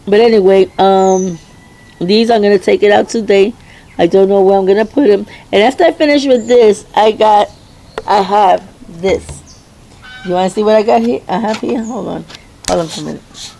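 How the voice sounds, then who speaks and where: A middle-aged woman with a hoarse voice giving a rambling, chatty garden tour outdoors, often stopping and restarting her sentences. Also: medium pitch, dark tone, slight background noise. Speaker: home gardener. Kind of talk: casual garden tour vlog.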